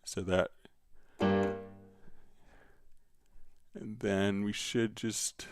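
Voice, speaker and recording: A man hosting a live stream, casually explaining software step by step while he demonstrates it. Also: fairly low pitch, fairly even intonation, very slow, loud music behind the voice.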